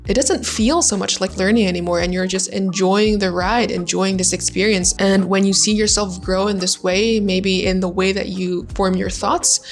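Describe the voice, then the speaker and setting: A woman's voice: medium pitch, steady tone, moderate pace, few pauses, faint music behind. A young adult woman, a native Vietnamese speaker, talking as an English tutor in a casual, chatty video lesson, often dropping in "like". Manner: friendly, chatty, frequent "like" fillers. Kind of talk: casual YouTube lesson talk.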